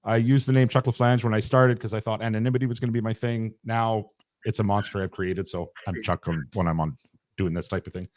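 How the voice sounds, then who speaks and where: Brief: man, mid-pitch, laid-back, dead-dry sound. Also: a little fast, no room sound. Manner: relaxed, rambling, self-deprecating. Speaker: podcaster guest.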